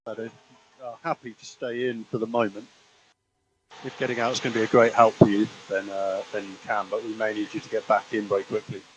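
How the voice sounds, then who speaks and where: Guy race engineer on team radio, short repeated calls, loud car noise behind. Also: lowish voice, level tone, fairly quick, rough recording. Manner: clipped, repeats instructions. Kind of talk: motorsport team radio instructions.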